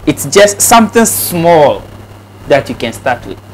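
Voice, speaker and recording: A man from Ghana being interviewed about his farming, talking in a soft, easygoing way. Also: on the high side, sing-song, unhurried, low-quality recording. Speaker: interview guest, snail farmer. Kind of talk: casual interview.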